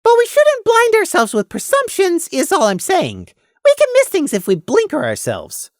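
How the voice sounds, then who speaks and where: A woman's voice: very high-pitched, huge pitch swings, moderate pace, no room sound, studio quality, pitched above the performer's own voice, full character voice. A woman voicing a cautious, earnest young male pony with a careful, hesitant manner in an audio story.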